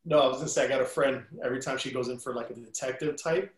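A man's voice: mid-range voice, rapid-fire, reverberant. A man in a casual chat, wry and teasing. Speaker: actor, guest.

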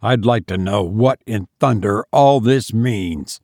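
A man reading aloud and voicing a gruff, resentful middle-aged father who speaks roughly. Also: fairly low pitch, slow pace, darkish tone, dry recording, studio quality. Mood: angry.

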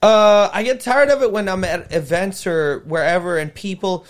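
A man who is a comedian guest, telling stories in casual chat in an animated, profane way. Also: high-pitched, normal speed.

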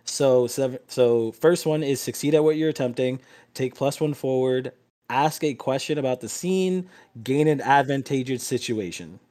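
A man's voice: mid-range voice, normal speed, dark tone. A man acting as game master, explaining options and narrating a tabletop game in informal, profane talk.

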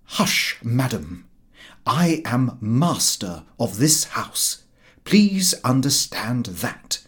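A man's voice: medium pitch, big pitch swings, extremely slow. A man voicing a pompous, indignant master of the house in an audiobook, stern and self-important.

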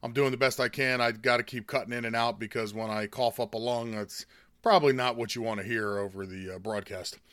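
A man from the United States, hoarse from a chest cold, giving a scornful, indignant opinion monologue as host. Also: mid-range voice, steady tone, fairly quick, a bit bright, no echo, clean studio sound. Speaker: political commentary host.

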